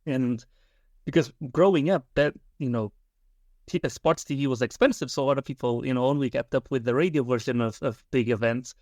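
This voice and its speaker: A man's voice: medium pitch, fairly quick, a little mellow, no room sound, studio quality. A man in his twenties co-hosting a podcast, asking casual, chatty questions with many fillers. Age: 20s. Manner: chatty, tangent-prone with fillers. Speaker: podcast co-host. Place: Portugal.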